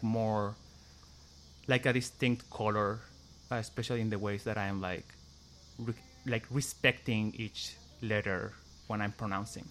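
A man's voice: medium pitch, a bit bright. Chilean guy talking english in an interview, lots of "like", careful about each sound. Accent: Chilean Spanish. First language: Spanish. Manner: hesitant, many "like" fillers, careful pronunciation. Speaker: interviewee, musician. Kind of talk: casual interview answers and a read passage.

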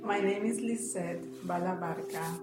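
A woman's voice: fairly low pitch, a little slow, reverberant, music in the background. A woman in her forties from Peru, with a Peruvian Spanish accent, speaking as a professor and interview guest, thoughtful, with many fillers and restarts. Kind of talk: conversational interview.

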